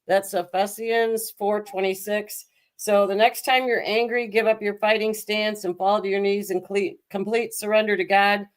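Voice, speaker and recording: A woman's voice: mid-range voice, flat delivery, moderate pace. A woman hosting a casual live stream, talking in a chatty, informal way. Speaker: show host.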